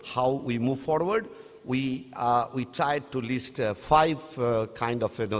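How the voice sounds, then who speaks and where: A man giving a formal conference presentation, pausing often with fillers and restarts. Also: mid-range voice. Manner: halting, frequent uh and restarts. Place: large conference hall. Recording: reverberant hall.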